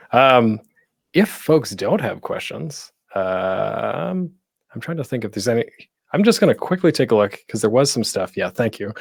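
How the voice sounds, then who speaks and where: Low-pitched man, rambling casual panelist. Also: vocal fry. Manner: rambling, self-deprecating, jokey. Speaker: podcaster, panel presenter. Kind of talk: casual unscripted online panel talk.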